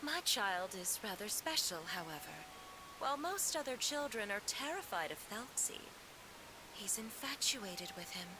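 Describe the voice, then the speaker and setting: A gentle mother acted by a woman speaks in a mid-pitched, soft and measured voice. She sounds wistful and worried, like a game cutscene storyteller, with clear hiss behind her. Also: sing-song, lightly acted. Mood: fond, gentle. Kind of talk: anime game cutscene acting.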